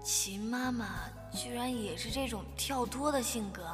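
A woman's voice: a little high, measured pace, music in the background, lightly acted. A woman playing a put-upon, easily exasperated young woman in a modern radio drama. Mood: wry, surprised.

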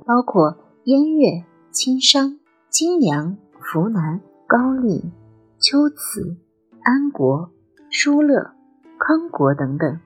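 A woman playing a lively, playful and chatty nineteen-year-old girl in an audio drama. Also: fairly high pitch. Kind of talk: Chinese audio drama acting.

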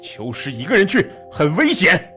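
A man's voice: a little high, wildly swooping pitch, moderate pace, bright, forceful tone, faint music behind, exaggerated voice. A man acting a commanding police team leader in an audio drama. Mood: urgent, alarmed.

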